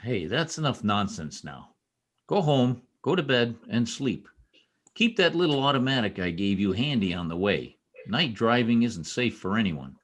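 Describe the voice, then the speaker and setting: A man in an old-time radio play, acting a protective, brusque young state trooper with a gruff, commanding manner. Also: mid-range voice, big pitch swings, moderate pace. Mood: protective, dismissive. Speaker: amateur radio play actor. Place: home, over a video call.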